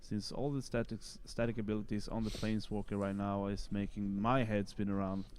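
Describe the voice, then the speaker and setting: A man with a Colombian Spanish accent, his first language Spanish, chats his way through live commentary. His voice is mellow and dark, with a faint hiss of noise under it. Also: on the low side, level tone, brisk.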